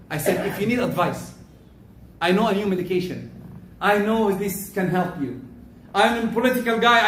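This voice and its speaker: Loud high-voiced man preaching in an echoey hall. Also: slight background noise. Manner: loud, emphatic preaching with rising stresses. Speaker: khatib. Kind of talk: Friday sermon. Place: mosque prayer hall. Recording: echoey hall, mic from far off.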